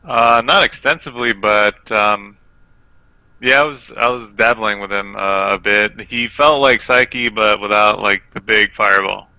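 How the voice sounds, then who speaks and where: Gravelly, sharp-edged man chatting casually. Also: lowish voice, fairly even intonation, measured pace, dry recording, low-quality recording. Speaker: guest player on a gaming podcast. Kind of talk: casual chat, game analysis.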